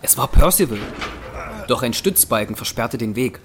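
A man's voice: medium pitch, animated, normal speed, noisy background. A man narrating a German audio drama in a scripted, dramatic storytelling style.

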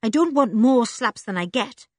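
A scruffy, tough young girl speaks bluntly and warily, her voice a touch higher and bouncing in pitch, as a woman reads her. The sound is close and dry. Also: lively intonation, moderate pace, darkish tone, no echo.

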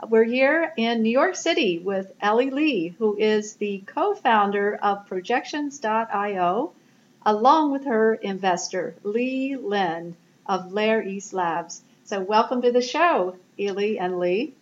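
A woman hosts a conversational interview, putting questions to guests in a medium-pitched voice. The recording is somewhat dull, with faint noise behind her. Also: lively intonation, slow. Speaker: interview show host. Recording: roomy background noise, dull lo-fi sound.

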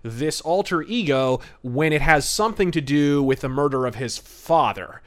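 Guy podcast host, slightly high voice, wry chatty movie review, really into the details. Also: animated, normal speed. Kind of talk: film review commentary.

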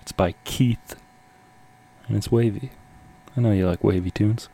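A man presents a music radio show in an easygoing, casual way. His voice sits fairly low, with a very soft, mellow tone. He talks in relaxed slang as he introduces tracks. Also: unhurried, gravelly, breathy edge. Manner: laid-back, slangy.

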